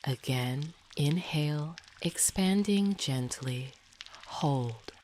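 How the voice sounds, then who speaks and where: A woman guides a meditation in a soothing, hushed, often near-whispered delivery. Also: low voice, lively intonation, extremely slow, a little hiss or hum. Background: dripping, pouring water sounds.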